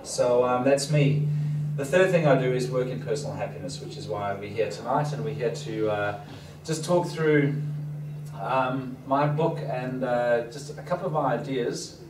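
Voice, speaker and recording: A man's voice: mid-range voice, moderate pace. A man raised in Africa, Danish his first language, with a slight foreign accent, giving a chatty, self-deprecating seminar talk to an audience. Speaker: seminar speaker. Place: event hall with audience. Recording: roomy and distant, noisy hall.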